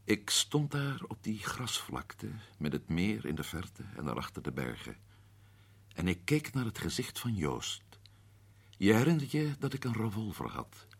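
A man playing a grim, husky adventurer. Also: fairly low pitch, very animated, a little slow, no room sound, heavily acted. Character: grim male adventurer, guarded and tense. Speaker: narrator and lead character Paul. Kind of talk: radio drama acting.

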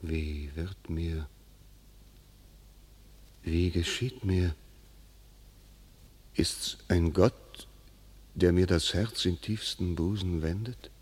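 A man's voice: sits low, steady tone, extremely slow, pauses often, a little mellow. A man playing a proud noble duke, declaiming verse with furious force in a classic radio play. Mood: awed. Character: proud noble male duke, wrathful warrior.

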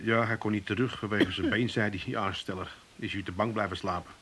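An actor in a comic eighties radio play as a put-upon, grumbling, sarcastic son with a husky voice. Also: male voice, steady tone, brisk. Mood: grumbling, sleepless. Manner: grumbling, sarcastic, bursts into high shouting. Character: exasperated, put-upon Amsterdam son minding his family.

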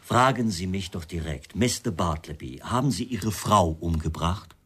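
Husky, sardonic murder suspect. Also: male voice, sits low, animated, normal speed, fairly bright tone, heavily acted. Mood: provoking. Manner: sardonic, evasive, pointed. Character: defensive male murder suspect, a wry, provoking writer. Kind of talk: German radio crime drama acting.

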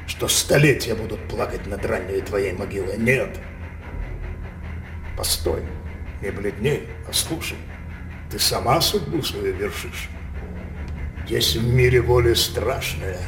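Domineering noble dad, grand stagey speech w/ big pauses, roomy n distant, music behind. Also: male voice, mid-range voice. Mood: solemn, threatening. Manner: declamatory, slow dramatic pauses, imperious. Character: domineering, grandiose father, a nobleman pressing his daughter. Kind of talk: theatrical stage acting for radio drama.

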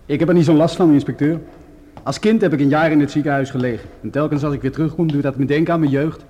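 A man playing a junior policeman in a radio drama. He is chatty and matter-of-fact, quick in a soft dark voice. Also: a little high, steady tone, a little hiss or hum, natural voice. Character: matter-of-fact male police subordinate to inspector. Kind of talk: Dutch radio play acting.

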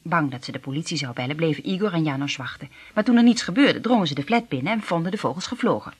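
An actress in a Dutch radio play, playing an earnest, conflicted secret agent princess with a husky voice. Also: female voice, low-pitched, expressive, fast talker. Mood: grave, recounting.

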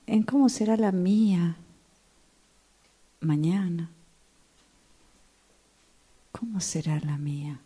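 A woman's voice: on the low side, warm tone, breathy edge, heavily acted. A woman playing a restless commuter in a radio drama monologue, musing dramatically about strangers while she waits. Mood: weary, pensive. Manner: dramatic, deliberate, repetitive musing. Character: restless woman waiting for a train, pondering strangers' lives.

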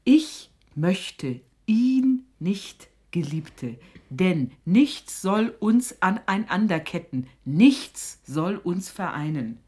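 A woman's voice: lowish voice, sing-song, extremely slow, a little mellow, heavily acted. An actress playing a suspicious, scolding middle-aged mother with an earthy, sarcastic manner in a radio drama. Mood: mocking, warning. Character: stern, sharp-tongued, suspicious mother of a young woman.

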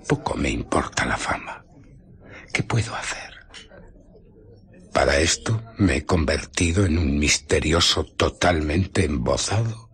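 A man with a Castilian Spanish accent playing an embittered, vengeful invisible scientist in a radio drama, brooding theatrically with pauses and breathy murmurs. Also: extremely low pitch, a bit bright, heavily acted.

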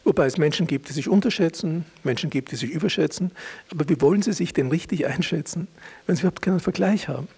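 A man's voice: fairly high pitch, fast talker, gravelly, a little mellow, slightly rough. A man answering questions as an expert in an interview.

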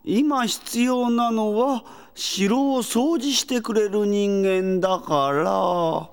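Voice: mid-range voice, drawn-out words, creaky, clear, resonant tone. A performer playing a weary, pompous old castle ruler in a Japanese comedic audio drama, with old-fashioned, hesitant speech. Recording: long reverberant castle-hall effect.

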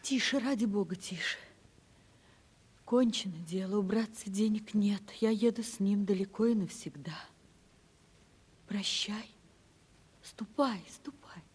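A husky, pleading young woman. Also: mid-range voice, a little slow, long pauses. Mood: hushed, resolute. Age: young adult. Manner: hushed, pleading, earnest. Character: desperate, resolute poor young niece in love. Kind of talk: 1970s Russian stage play radio acting. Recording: reverberant hall, old radio drama.

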